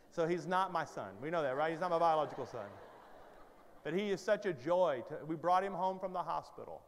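Man in his fifties, pastor, headset mic, higher voice, long hall reverb, conversational then urgent. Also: clean tone. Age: 50s. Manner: conversational, rising to urgent exhortation; paces the stage gesturing. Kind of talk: sermon. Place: large church stage with hall reverb. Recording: hall reverb from large sanctuary.

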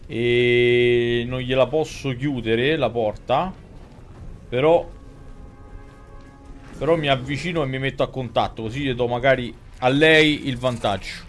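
A man's voice: mid-range voice, unhurried. Roman man commentating a game over music. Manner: slangy, exclamatory, talking to chat and game characters. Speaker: game streamer. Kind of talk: live gameplay commentary. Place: indoors at a streaming desk.

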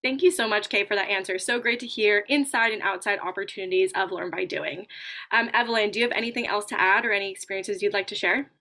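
A woman's voice: medium pitch, fast talker, bright, forceful tone. A young woman hosting a question and answer panel over a video call as its moderator.

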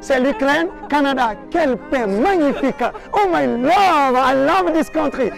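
Man, very high gravelly voice, exuberant street tour host, music behind. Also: animated, measured pace. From Guinea. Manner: exuberant, loud exclamations, switches between French and English. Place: outdoors on a city lawn and plaza. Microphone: handheld camera, medium shot. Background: background music.

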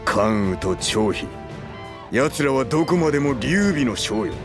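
An actor playing a gruff middle-aged general in a video game, distrustful and firm, with rough, blunt speech. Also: male voice, medium pitch, very animated, unhurried, music in the background. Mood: stern, insistent. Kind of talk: Japanese video game cutscene acting.

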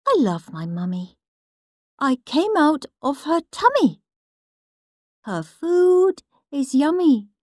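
Smug, prim little boy, acted by a woman, high-pitched. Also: big pitch swings, slow pace, pauses often, a little mellow, dry recording, studio quality, heavily acted. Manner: sing-song, earnest, self-satisfied. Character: smug, prim goody-goody little boy reading his diary.

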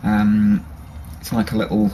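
Man, low, level delivery, casual and hesitant, workshop camera mic. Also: measured pace, slight background noise. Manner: relaxed, hesitant with many ums and restarts. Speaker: bushcraft hobbyist showing a knife. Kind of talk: casual show-and-tell. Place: workshop with a grinder and workbench.

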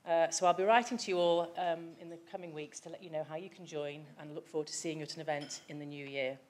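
Measured, low-voiced middle-aged woman giving a formal speech. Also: steady tone, darkish tone. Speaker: event chair. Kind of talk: prepared speech. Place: large banquet hall at a lectern. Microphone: lectern microphone.

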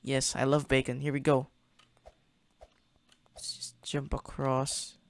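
A man's voice: mid-range voice, completely flat delivery, slow, gravelly, slight background noise. A young man hosting a gaming channel, casually narrating his play in a chatty, slangy way, thinking aloud as he goes. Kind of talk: casual gameplay commentary.

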